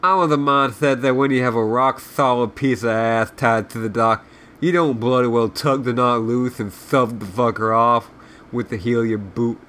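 A man's voice: mid-range voice, steady tone, normal speed, a bit bright, close to an everyday voice. A man voicing a surly, self-loathing sprite guide who rambles sarcastically and swears as he insults, in a comic read-aloud. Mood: rambling, self-loathing. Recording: clearly noisy background.

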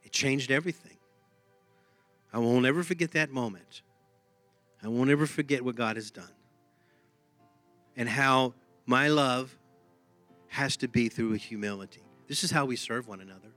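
A man's voice: mid-range voice, normal speed, pauses often, fairly bright tone, no room sound, soft background music. A man with a Texan accent preaching a sermon in an emphatic, conversational way, often repeating his phrases. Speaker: pastor.

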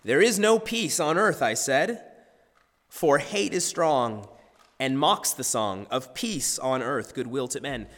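A man preaching a sermon as pastor, his voice husky and hoarse today, talking in a conversational way with self-deprecating humor. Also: medium pitch, expressive, takes it slowly, fairly bright tone. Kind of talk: sermon with scripture reading and prayer. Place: church sanctuary. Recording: long church hall reverb.

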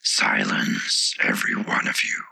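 A man voicing a haughty, bossy talking tiger lily in a breathy, hoarse whisper, with slow, imperious delivery. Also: pushed and bright. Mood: agitated, commanding.

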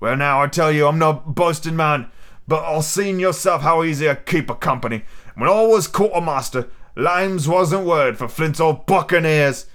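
A man's voice: fairly high pitch, normal speed, fairly bright tone, pitched above the performer's own voice, close to an everyday voice. A man reading an audiobook, voicing a cunning, boastful, domineering pirate cook with emphatic rustic sailor talk. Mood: boastful, wheedling. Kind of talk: audiobook character acting.

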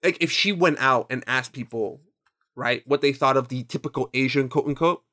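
A man's voice: mid-range voice, moderate pace, sharp tone. A man in his twenties whose first language is Spanish, chatting casually on a podcast, rambling and swearing freely as he quotes his own thoughts. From Mexican and Nicaraguan heritage. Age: 20s. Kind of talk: casual chat.

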